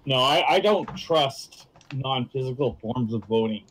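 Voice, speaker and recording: A man with a mid-pitched voice chats casually as a livestream co-host. He sounds agreeable and hesitant, feeling his way through halting phrases. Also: animated, a bit bright, poor audio. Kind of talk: casual chat.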